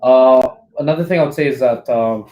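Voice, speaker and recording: Guy talking quick, medium pitch, agreeable, casual religious chat. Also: roomy sound, highs cut off. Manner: agreeable, often affirming the others.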